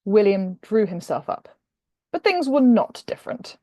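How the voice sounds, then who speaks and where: Expressive woman narrator, mellow and close. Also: medium pitch, measured pace, dry recording. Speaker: author reading from own novel. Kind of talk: expressive audiobook-style narration of a humorous romance.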